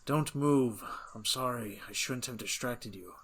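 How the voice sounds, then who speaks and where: A man playing a gruff, earnest, vengeful archer in a fantasy audio drama, speaking tersely in a husky voice. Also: medium pitch, unhurried, fairly bright tone. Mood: regretful, determined. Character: gruff, vengeful male archer of the forest, plain-spoken. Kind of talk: tabletop-inspired audio drama acting.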